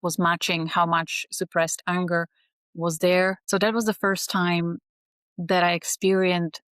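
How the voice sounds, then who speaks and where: A woman, speaking as a guest in an earnest, measured way. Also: lowish voice, flat delivery, a bit bright, clean tone, no room sound. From Slovakia. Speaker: astrology teacher, guest. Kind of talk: reflective interview talk.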